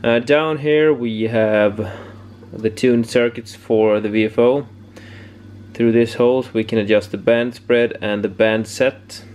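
A man giving a casual, unscripted hobby demonstration, haltingly searching for words, in a home workshop. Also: mid-range voice, fairly even intonation, a little mellow. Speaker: amateur radio hobbyist presenting homebrew project. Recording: clear background noise, room ambience.

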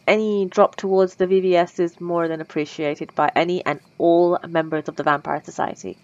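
A courteous but lovestruck female vampire, played by a woman pleads in formal, old-fashioned words, stumbling over nervous stammers. Her voice sits a little low, and the ASMR roleplay recording is close, dry and slightly muffled. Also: fairly bright tone, no echo.

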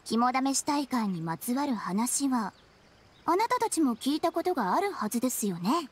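An actress playing an eerie, courteous young shrine maiden in an anime game, formal and teasingly ominous. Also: female voice, high-pitched, big pitch swings, normal speed, husky, exaggerated voice. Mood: politely unsettling.

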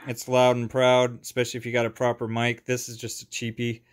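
Clear-voiced man, casual and rambling. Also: medium pitch, monotone, a little fast. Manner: informal, rambling. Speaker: hobbyist video maker. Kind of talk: casual product show-and-tell.

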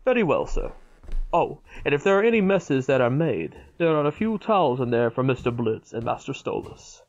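A man's voice: medium pitch, very animated, moderate pace, highs cut off, noisy background. A man playing a polite, obliging household servant in an audio drama, formal and deferential.

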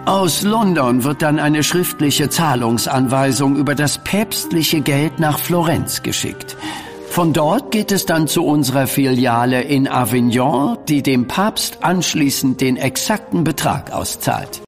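An actor playing a greedy, impatient elder merchant banker in an audio drama, condescending and formal. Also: male voice, on the high side, a little slow, faint music behind, close to an everyday voice. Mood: haughty, businesslike. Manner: condescending, formal archaic diction. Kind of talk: German audio drama acting.

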